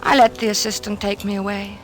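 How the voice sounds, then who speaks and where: An actress playing a haunted, distraught widow on the edge of hysteria, in intense 1940s radio drama style. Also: female voice, mid-range voice, level tone, a little fast, lightly acted, low-quality recording. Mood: haunted, brooding. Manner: intense, dramatic, brooding narration. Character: distraught widow haunted by her husband's death, growing hysterical. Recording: 1940s radio recording with music.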